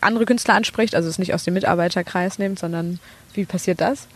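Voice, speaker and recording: Relaxed podcast host with faint hiss. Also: mid-range voice, quick pace. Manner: relaxed, short questions, agreeing murmurs. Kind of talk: casual interview questions.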